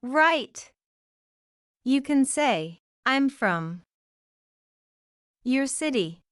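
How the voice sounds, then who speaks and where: A woman leads a beginner English lesson in a high, bright voice. Her pitch jumps about as she speaks slowly and clearly. The sound is dry and close, with quiet music behind her. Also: very animated, no room sound. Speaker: English teacher.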